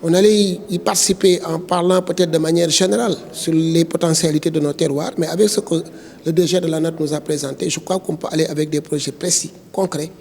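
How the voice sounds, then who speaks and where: A man's voice: a little high, steady tone, fast talker, gravelly, a little mellow. A man with a Senegalese accent giving a spoken statement to the press as spokesman for a network, leaning on repeated filler phrases. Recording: reverberant hall.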